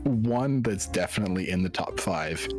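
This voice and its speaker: A man's voice: deep voice, animated, moderate pace. A man on a live stream talking casually as a guest, rambling with lots of fillers and swearing. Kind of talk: casual chat. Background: background music.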